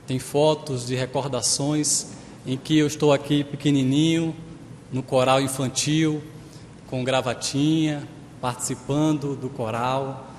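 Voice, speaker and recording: Male preacher, 30s, Pernambuco accent, mellow voice, reverberant church hall, handheld mic. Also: medium pitch, steady tone, measured pace, a little hiss or hum. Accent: Pernambuco Portuguese mixed with Maranhão. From Pernambuco, Brazil. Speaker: guest preacher. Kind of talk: sermon. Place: large church sanctuary. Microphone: handheld microphone.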